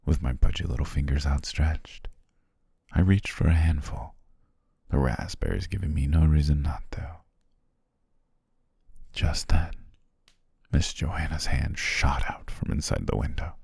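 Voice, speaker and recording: Man voicing a very deep, gravelly demon king. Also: measured pace, pauses often, no echo, studio quality, full character voice. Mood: nostalgic, wistful. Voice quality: very deep, husky, gravelly, often breathy near whisper. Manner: leisurely, genteel, archly formal with soft chuckles. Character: courteous, self-amused demon king, horned adult male ruler. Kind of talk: ASMR roleplay monologue.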